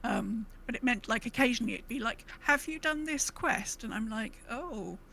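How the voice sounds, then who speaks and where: A woman's voice: fairly high pitch, normal speed, creaky, a little hiss or hum. A woman co-hosting a gaming podcast in casual chat, rambling and breaking into her own sentences with quoted asides. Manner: rambling, self-interrupting, animated retelling with quoted asides. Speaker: podcast co-host.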